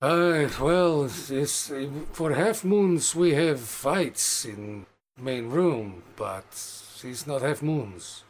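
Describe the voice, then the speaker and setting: A man voicing a gruff male orc barkeeper who speaks in clipped, broken grammar. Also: mid-range voice, extremely slow, a little hiss or hum. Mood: explaining.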